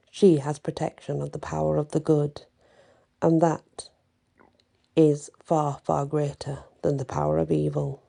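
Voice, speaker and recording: A woman's voice: low voice, vocal fry, dark tone, full character voice. Woman playing a solemn big winged monkey chief.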